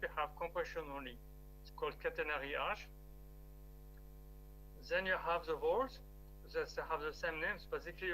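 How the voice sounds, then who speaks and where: Man with a French accent, bright voice, online lecture, faint music behind. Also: on the high side, rough recording. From France. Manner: steady explaining, occasional restarts. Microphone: video call.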